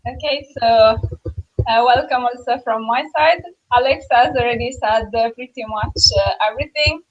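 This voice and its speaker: A woman's voice: fairly high pitch, normal speed, highs cut off. A woman from Italy, a space engineer and flight director, introducing herself in a friendly, brief way.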